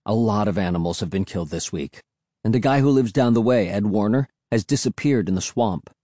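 A man voicing a calm, grim and firm father in an audiobook story, speaking in a quiet, measured way. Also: on the low side, no echo, studio quality, lightly acted. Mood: grave.

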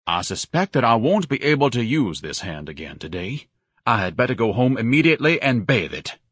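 Pompous whiny fat man for an audiobook, husky, indignant, swoops all over. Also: mid-range voice, big pitch swings, fairly quick, a bit bright, dry recording, clean studio sound. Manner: pompous, didactic, melodramatic. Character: obese, pompous, whining man, indignant blusterer.

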